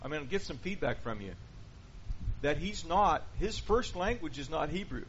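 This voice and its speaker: A man's voice: on the high side, lively intonation, moderate pace. A man leading a Bible study class, asking the group questions and going over their answers. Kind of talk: interactive Bible class teaching. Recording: dull lo-fi with faint hiss.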